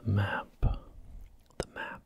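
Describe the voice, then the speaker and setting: A man giving soft-spoken, gentle ASMR commentary while he plays a video game. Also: very slow. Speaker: ASMR gaming host. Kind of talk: whispered ASMR gameplay commentary.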